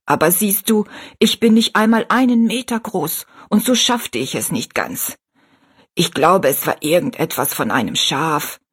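A woman voicing a tiny, kind and wise old woman who speaks softly, slowly and in a hushed way. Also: fairly low pitch, expressive, slightly rough. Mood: gentle. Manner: soft, slow and hushed.

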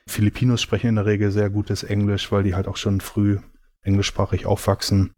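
A man's voice: on the low side, monotone, fairly quick, a little mellow. A man giving reflective, explanatory answers in a casual conversational interview. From Germany. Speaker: interview guest.

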